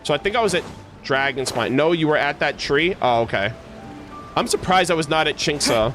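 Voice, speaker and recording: A man's voice: a little high, a little fast, soft background music. A man livestreaming a game, chatting casually with viewers in a rambling, slangy way and often correcting himself. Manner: rambling, self-correcting, slangy, animated asides. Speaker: game streamer.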